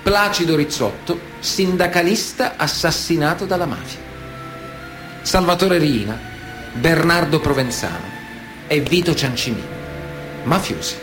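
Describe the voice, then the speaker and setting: An actor playing a stern, ironic chronicler, narrating a radio drama in clipped phrases with dramatic pauses. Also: male voice, fairly high pitch, lively intonation, over music. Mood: grave, declamatory.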